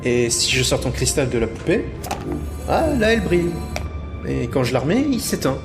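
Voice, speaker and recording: A man playing a lively, cheeky little thief. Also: medium pitch, normal speed. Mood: playful, cheeky. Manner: hesitant, cheeky. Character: small cunning young male thief, nervous and cheeky. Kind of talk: audio adventure drama acting. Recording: loud music behind the voice.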